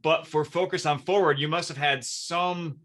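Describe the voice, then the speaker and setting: A man's voice: a little high, steady tone, moderate pace, a bit bright. A man hosting a podcast interview in a casual, supportive and attentive way.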